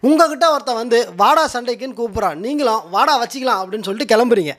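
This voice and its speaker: A man delivers mocking rebuttal commentary in a very high voice. He is colloquial and sarcastic, taunting his opponent as he presents his case. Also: expressive, racing, fairly bright tone. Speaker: political commentary video presenter.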